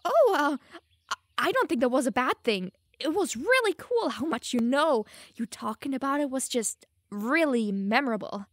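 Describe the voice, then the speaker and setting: Woman acting a playful, high-voiced young elf mage. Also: expressive, moderate pace, no echo, studio quality. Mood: flustered. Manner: playful, teasing, flustered stammering. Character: teasing, smug, lovestruck young female elf mage. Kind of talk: audio roleplay addressed to the listener.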